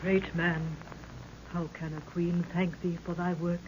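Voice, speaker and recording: A woman's voice: lowish voice, level tone, a little slow, lightly acted. Old radio drama queen, female actor: grave majesty, archaic formal delivery, dull vintage sound. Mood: solemn. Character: stately, solemn queen of a hidden kingdom. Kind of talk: 1930s radio serial melodrama acting. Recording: muffled, faintly noisy old radio recording.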